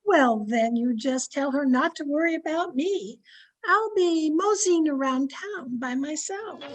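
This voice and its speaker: A high-pitched, cheerful older aunt played by a woman in an old-time radio play. She chatters knowingly and insists gently, a born meddler in young people's romances. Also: animated, measured pace, a little hiss or hum, poor audio. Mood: cheerful, scheming.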